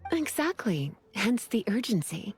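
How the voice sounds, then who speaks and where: A woman's voice: medium pitch, very animated, unhurried, breathy edge, heavily acted. A woman voicing a poised, teasing, coy charmer in an anime-style video game.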